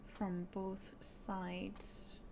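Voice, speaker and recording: A woman's voice: mid-range voice, flat delivery, very slow, creaky, background noise. A woman with a Swedish accent, Swedish being her first language, streaming a puzzle game and thinking aloud, often dropping to a whisper. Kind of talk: casual puzzle game livestream.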